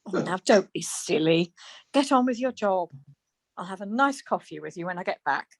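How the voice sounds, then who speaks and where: A woman playing a brisk, plain-spoken, chatty wife in a radio play, chiding and questioning. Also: lowish voice, expressive, no echo. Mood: brisk, dismissive.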